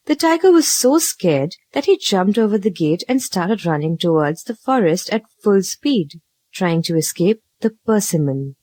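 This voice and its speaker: A woman narrating a children's story from a script, with an expressive, dramatic read-aloud delivery. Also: mid-range voice, dry recording.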